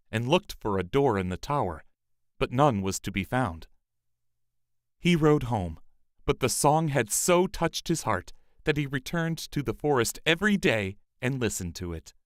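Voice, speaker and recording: A man reading a fairy tale as a storyteller, in a measured, expressive scripted narration. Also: mid-range voice, no room sound, clean studio sound.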